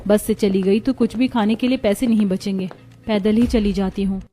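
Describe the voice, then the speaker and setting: A woman voicing a poor, gentle young college girl in a cartoon story, earnest and plaintive. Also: mid-range voice, fairly even intonation, normal speed, soft background music, close to an everyday voice. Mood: worried. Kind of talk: Hindi cartoon moral story acting.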